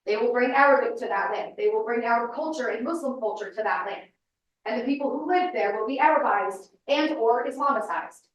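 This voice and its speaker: A woman in her thirties speaks with firm, insistent emphasis as she lectures a large room. Her voice sits a little high. It rings through a very reverberant hall, picked up from some distance away. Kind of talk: public lecture. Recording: echoey hall, mic at a distance.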